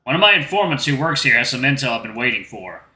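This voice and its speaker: Man playing a secretive protector, reassuring, matter-of-fact, bright voice, roomy echo. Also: medium pitch, sing-song, fast. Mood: persuasive. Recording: roomy echo with music behind.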